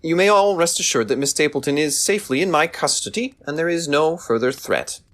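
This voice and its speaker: A theatrical detective, very animated delivery. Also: male voice, a little high. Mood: accusing, assured. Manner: theatrical, deliberate, authoritative deductive speech. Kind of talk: audio drama acting.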